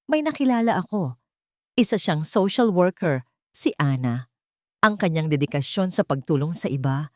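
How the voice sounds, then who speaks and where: A woman reading a sentimental story as a scripted narrator, in a measured, earnest storytelling manner. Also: medium pitch, darkish tone, no room sound, studio quality.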